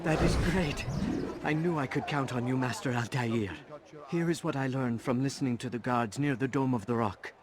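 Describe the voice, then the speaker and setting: An actor playing an eager young apprentice informant in a video game, earnest, formal and flattering. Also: male voice, medium pitch, moderate pace, heavy background noise, close to an everyday voice. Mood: grateful, informative.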